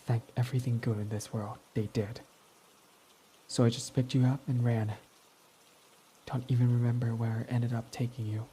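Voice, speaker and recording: A man's voice: mid-range voice, flat delivery, normal speed, pauses often, warm tone, a little hiss or hum, lightly acted, rough recording. A man voicing a proud, defensive young male villain in an ASMR roleplay who swears when flustered, over faint rain. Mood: quiet, confessing. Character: proud tsundere young male villain, gruff but smitten.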